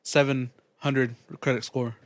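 A man's voice: medium pitch, little pitch movement, measured pace, no room sound. A man in casual podcast chat who mostly gives short agreeing replies and throws in dry jokes.

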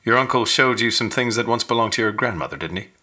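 A guarded federal agent in an audio drama. His voice is on the low side and strikingly sharp. He probes in a measured, insinuating way. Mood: probing. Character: guarded, probing male government agent. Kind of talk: radio drama acting.

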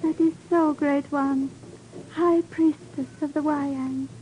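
High, slow, mysterious priestess. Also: female voice, steady tone, warm tone. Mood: solemn, prophetic. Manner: slow, incantatory, formal pronouncements. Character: solemn, mysterious female Indonesian high priestess, prophetess. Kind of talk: 1950s radio drama acting. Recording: faintly noisy old radio recording.

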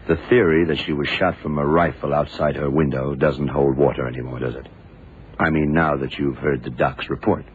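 An actor playing a shrewd, laconic detective in an old radio drama, deliberate and probing in manner. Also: male voice, extremely low pitch, fairly even intonation. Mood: knowing. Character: shrewd, laconic male detective puzzling out a murder. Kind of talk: 1960s-70s radio drama acting. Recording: muffled, noisy old radio recording.

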